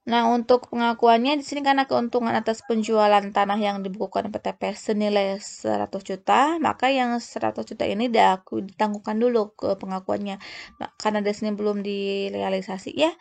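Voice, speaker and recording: Woman lecturing, slightly creaky voice. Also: mid-range voice, flat delivery, darkish tone. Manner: halting, often restarting words and phrases. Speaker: accounting lecturer. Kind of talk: explanatory lecture, unscripted walk-through of worked examples.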